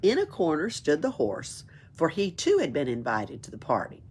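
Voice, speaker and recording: A woman reads a children's book aloud in an expressive storytelling way. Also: low-pitched, moderate pace, a little hiss or hum. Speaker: library storyteller.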